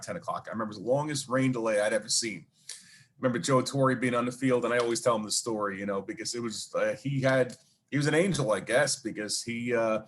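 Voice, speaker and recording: A man's voice: mid-range voice, slight background noise. A man with a Brooklyn accent, a guest telling long stories in an interview, rambling with many fillers and asides. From New York. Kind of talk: casual storytelling interview.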